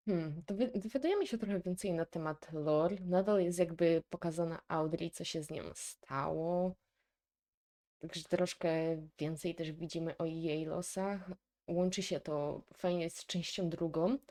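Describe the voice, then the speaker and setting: Polish female reaction host, low mellow voice, casual and musing, thinking out loud as she watches. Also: unhurried. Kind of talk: casual reaction commentary on a horror video.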